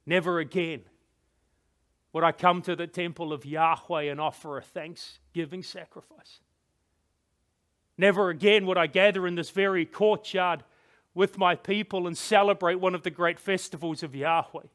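Man playing a proud, high-voiced biblical king. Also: steady tone, a bit bright. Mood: stricken, grieving. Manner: theatrical, emphatic, with dramatic pauses. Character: proud, wrathful king of Judah telling his own downfall. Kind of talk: dramatic first-person biblical monologue.

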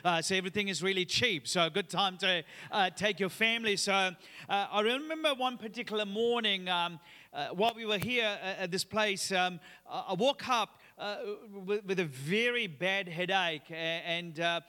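Guest preacher in his thirties, born in Sri Lanka, high and bright voice, chatty delivery full of fillers, long hall reverb. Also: normal speed. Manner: upbeat, chatty, many "uh" fillers. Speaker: guest pastor. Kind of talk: sermon with personal story. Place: church auditorium.

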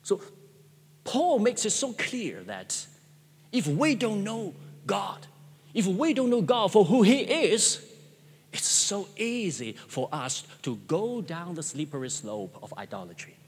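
Male pastor, Chinese native speaker, earnest sermon, slow and lively delivery, long hall reverb. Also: high-pitched, slightly rough. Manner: earnest, repeats phrases, stammering restarts.

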